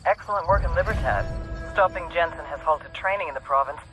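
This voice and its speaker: An actress playing a brisk, businesslike intelligence handler giving a mission debrief through a radio filter in a game. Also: female voice, low voice, music in the background. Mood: approving, brisk. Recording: noisy military radio transmission.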